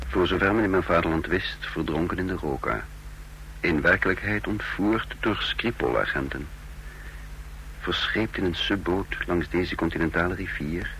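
Kidnapped man narrating radio drama: low, forceful, sombre, clipped, slight hum and noise. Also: heavily acted, low-quality recording. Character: grim male kidnap victim recounting his own story.

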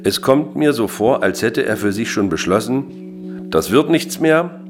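An actor playing a gruff, embittered, greying ex-army father in a radio drama, sarcastic and grumbling. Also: mid-range voice, expressive, unhurried, over music. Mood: formal, resigned. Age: middle-aged. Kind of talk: German radio drama acting.

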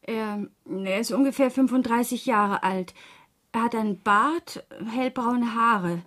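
A woman's voice: a little high, unhurried, fairly bright tone. A woman acting a distressed, defensive mother who works as a prostitute, in a plaintive manner, in a radio crime drama. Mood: subdued, wary.

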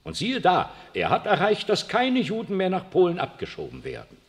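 Aging pope, imperious, lofty royal we, wildly swinging pitch, long reverb, 1960s radio play. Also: male voice, exaggerated voice. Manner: lofty, cold, uses royal we. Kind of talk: 1960s radio drama acting. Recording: long reverb of a large room.

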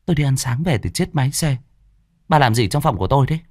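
A man's voice: medium pitch, animated, quick pace, darkish tone, no room sound, pitched above the performer's own voice, close to an everyday voice. Guy doing a young woman in a horror audiobook, guarded and reserved, dry close sound. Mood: curt, suspicious. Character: young woman, guarded, polite to elders, suspicious.

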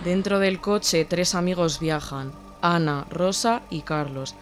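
A man narrating scripted horror fiction in a measured, atmospheric way. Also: on the high side, fairly even intonation, noisy background.

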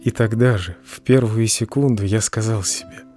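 An actor playing a humble, lovelorn clerk in an audio drama, delivering a monologue in an earnest, subdued, measured way. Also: male voice, fairly low pitch, warm tone, lightly acted. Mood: adoring, tender. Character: lovelorn, humble male clerk reciting his farewell letter. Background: plucked guitar music.

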